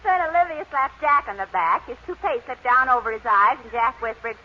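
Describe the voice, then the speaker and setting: A woman's voice: a little high, lively intonation, a little fast, close to an everyday voice. A woman with an American accent playing a teasing, wisecracking sidekick with punchy delivery in 1940s radio comedy. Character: teasing, wisecracking woman who needles the vain star. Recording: tinny band-limited 1940s radio recording, faint noise.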